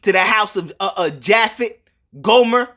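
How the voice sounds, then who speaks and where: A man's voice: sits high, moderate pace, sharp tone. A man preaching informally, reading scripture and explaining it emphatically, repeating himself and checking that listeners follow. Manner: emphatic and repetitive, punctuated with check-in questions.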